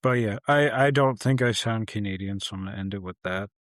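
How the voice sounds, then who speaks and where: A man's voice: lowish voice, a little fast, dry recording. A man co-hosting a podcast, talking casually in a blunt, dry, sarcastic way. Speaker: podcast co-host. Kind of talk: casual chat.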